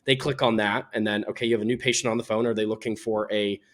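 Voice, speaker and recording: A man speaking as an interviewed company founder, explaining things in a candid, measured and articulate way. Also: fairly low pitch, steady tone, fairly bright tone. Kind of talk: explanatory business interview talk.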